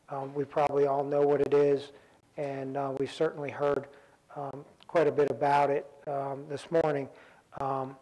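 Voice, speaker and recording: A man moderating a conference panel, introducing the guests with many pauses, ums and restarts. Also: fairly high pitch, darkish tone, poor audio. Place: conference room with audience.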